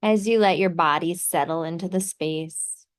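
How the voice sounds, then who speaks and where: A woman leading a guided meditation in a soothing, gently instructive way, pausing between short phrases. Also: on the low side.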